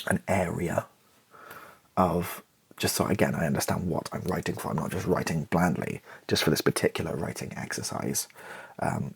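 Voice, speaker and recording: A man chatting in a relaxed ASMR style, rambling and self-deprecating with a wry touch, his voice husky and creaky. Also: low-pitched. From Britain. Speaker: ASMR YouTuber and playwright. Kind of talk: relaxed ASMR-style casual chat to camera.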